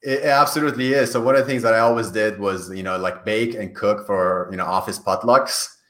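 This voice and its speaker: A man's voice: on the low side, steady tone, a little fast, fairly bright tone. A man who grew up in Iran and speaks Farsi as his first language, answering podcast interview questions in an earnest way, often restarting and repeating words. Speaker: podcast guest. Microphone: call.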